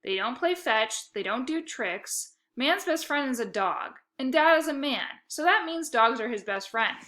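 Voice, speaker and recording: A woman's voice: high voice, expressive, a little slow, pushed and bright, pitched above the performer's own voice, exaggerated voice. A woman voicing an eager, feisty, competitive child with an emphatic delivery in a children's storybook. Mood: eager, argumentative. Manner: emphatic, pleading, teasing. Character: eager, feisty child narrator, a dog-loving competitive sibling.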